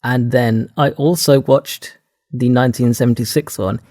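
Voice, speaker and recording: A man's voice: mid-range voice, fairly even intonation, normal speed, mellow, no echo, studio quality. A man co-hosting a podcast, talking casually about films in a hesitant, tentative way. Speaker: podcast co-host. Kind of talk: casual film discussion.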